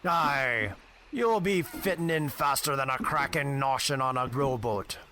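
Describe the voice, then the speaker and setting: A gruff, scheming first mate of a pirate crew, acted by a man, speaks in a hearty pirate accent full of slang. It is amateur audio drama with faint noise behind the voice. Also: mid-range voice, a little slow, pushed and bright. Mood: hearty, sly. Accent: put-on pirate.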